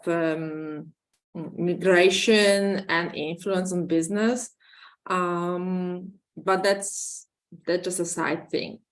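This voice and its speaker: A woman, hoarse from illness, giving an online conference presentation over a video call in halting English full of fillers. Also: on the low side. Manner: halting, many fillers and restarts. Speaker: conference speaker.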